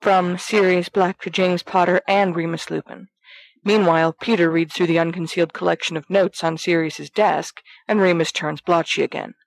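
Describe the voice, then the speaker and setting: A woman's voice: on the low side, fairly even intonation, moderate pace, vocal fry, no room sound, low-quality recording. A woman reading fiction aloud as scripted narration. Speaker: fan fiction reader.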